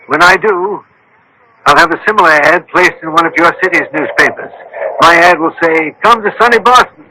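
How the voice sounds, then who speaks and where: Radio drama, scientist-detective, higher-set voice, piercingly forceful, authoritative, formal, precise. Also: male voice, normal speed, a little hiss or hum, poor audio. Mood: commanding. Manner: authoritative, formal, giving crisp orders. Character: commanding, precise scientist-detective, a brilliant thinking machine. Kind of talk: 1970s-80s radio drama acting.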